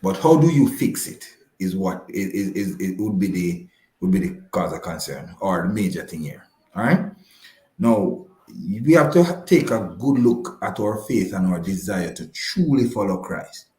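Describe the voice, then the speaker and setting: A man with a Jamaican accent giving explanatory interview answers as a financial advisor, halting and repeating phrases for emphasis. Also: low-pitched, expressive, darkish tone. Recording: roomy, echoing voice pickup.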